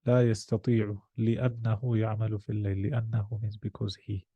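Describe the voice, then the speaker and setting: A man's voice: lowish voice, little pitch movement, racing, breathy edge, no room sound, muffled recording. A man teaching a language lesson one to one, explaining, correcting and translating phrase by phrase as he questions his student. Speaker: Arabic teacher.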